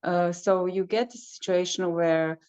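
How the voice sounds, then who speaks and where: A middle-aged woman from Croatia, a travel consultant chatting as a guest and often pausing to search for words. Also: fairly low pitch, steady tone, a little mellow, clear, resonant tone. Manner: hesitant, searching for words with many fillers. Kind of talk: casual interview chat. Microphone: video call. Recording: dull lo-fi call audio.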